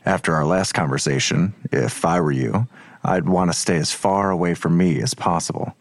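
An actor playing a weary, sardonic police detective in an audio drama, with a dry, blunt, skeptical delivery. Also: male voice, low voice, moderate pace, creaky, dry recording. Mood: dry, uneasy. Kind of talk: naturalistic audio drama acting.

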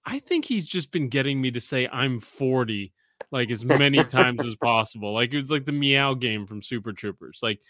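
A man in his forties hosts a podcast, rambling through a story in loose casual chat and cursing as he goes. His voice is bright and forward, and the sound is dry and close, with no room around it. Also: mid-range voice, moderate pace, no echo. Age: 40s. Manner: rambling storyteller, swears freely. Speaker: podcast host.

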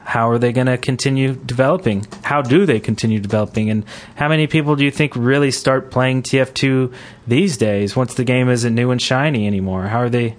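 Casual male podcast host, halting delivery. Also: mid-range voice. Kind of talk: casual gaming podcast chat.

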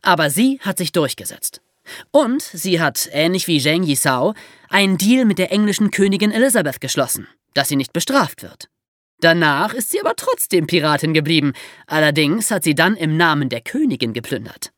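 A woman hosts and narrates history for young listeners. Her voice sits a little low and swings expressively as she explains. The sound is close and dry. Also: very animated, moderate pace, dry recording. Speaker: podcast host telling history.

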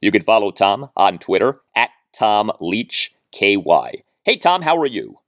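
A man hosting a sports podcast, introducing and questioning with emphatic, deliberate phrasing. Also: lowish voice, very animated, a bit bright, no echo, studio quality. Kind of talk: scripted introduction and interview questions.

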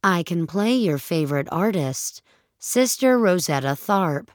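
A woman's voice: lowish voice, expressive, measured pace, no echo, clean studio sound. A woman voicing a polite, attentive car assistant in a science-fiction audio drama. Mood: obliging.

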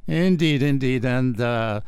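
Older French-accented man, gravelly voice. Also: medium pitch, slow, a little mellow, no echo, clean studio sound. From France. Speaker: guest, wine professional. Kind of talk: casual radio interview.

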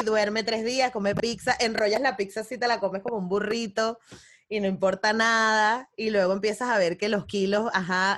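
A woman hosting a casual podcast interview, chatty, laughing often and tossing in English words. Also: mid-range voice. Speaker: podcast host.

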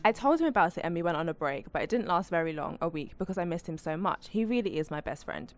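A woman's voice: lowish voice, expressive, fast talker. Woman co-host reading a listener letter. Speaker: podcast co-host. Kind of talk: reading a listener's email aloud.

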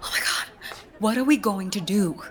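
A woman's voice: medium pitch, normal speed, slightly rough, close to an everyday voice. A woman playing a frazzled, panicky theatre worker with an urgent, dramatic delivery. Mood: panicked.

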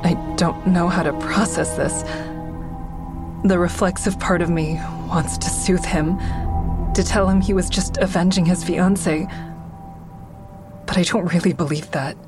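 Casting: actress for guarded female trauma survivor, lower register, introspective audio-drama narrator, music underneath. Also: fairly even intonation, unhurried, pauses often, close to an everyday voice. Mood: cold, disillusioned. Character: Haven, traumatized woman survivor narrating, fearful, guarded, hardening. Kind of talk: first-person narration and dialogue in audio drama.

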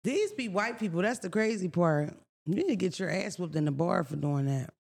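A woman with a raspy voice co-hosting a podcast, chatting casually in a blunt, profane, storytelling way. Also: lowish voice, lively intonation, moderate pace, darkish tone. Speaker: podcast co-host. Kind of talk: casual chat.

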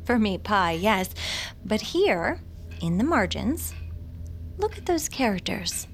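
A woman's voice: mid-range voice, big pitch swings, slow, faint music behind. An actress playing an eccentric, brusque and superstitious woman who is an occult expert, speaking in a pompous, theatrical manner in an audio drama. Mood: lecturing, dramatic. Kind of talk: audio fiction drama acting.

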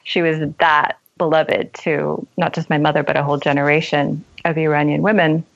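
A woman's voice: deep voice, level tone, moderate pace, vocal fry. A woman speaking as a novelist and guest, thoughtful and articulate in a reflective literary interview. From left Iran as a child and grew up in America. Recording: dull lo-fi sound.

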